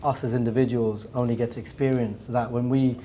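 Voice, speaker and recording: A man's voice: medium pitch, little pitch movement, brisk, dark tone, slight background noise. A man with an English accent speaking on a panel, hesitant, often repeating words as he goes. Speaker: round table panelist. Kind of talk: panel discussion.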